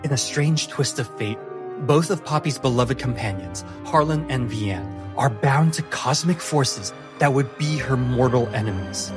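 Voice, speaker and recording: A man narrating a tabletop fantasy game as game master, with a measured, deliberate storytelling delivery. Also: mid-range voice, animated. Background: music.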